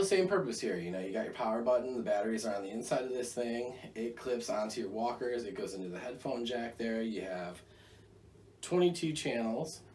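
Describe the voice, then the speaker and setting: Low-voiced American man, casual gear review. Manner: rambling, conversational, jokey asides. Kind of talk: casual product review to camera. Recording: distant mic, faint background noise.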